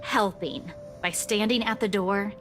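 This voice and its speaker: An actress playing a tired, defensive young woman who answers with curt, sharp retorts. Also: mid-range voice, unhurried, a little hiss or hum, close to an everyday voice.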